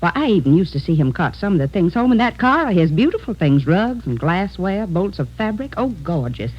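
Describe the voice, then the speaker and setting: An actress playing a chatty, nosy, gushing neighbor in an effusive, affected 1940s radio drama style. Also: female voice, lowish voice, very animated, a little fast. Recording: dull, lo-fi old radio recording.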